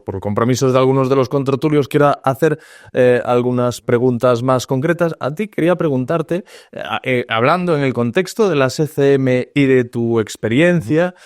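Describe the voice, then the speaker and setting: A man's voice: mid-range voice, clean tone, no room sound. A man moderating a studio round table, asking careful, polite questions. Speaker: moderator of the panel.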